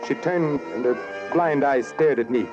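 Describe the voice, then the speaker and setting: An actor playing a haunted, wary murderer, narrating in brooding, dramatic 1940s radio noir style. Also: male voice, medium pitch, moderate pace, lightly acted. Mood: hunted, tense. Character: haunted, wary male murderer narrating his own crime. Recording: dull old radio broadcast. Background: dramatic music.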